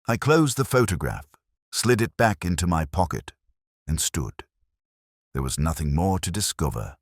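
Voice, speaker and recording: A man narrating a first-person literary detective story in a measured, reflective, melancholic way. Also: rumbling low, no echo, studio quality.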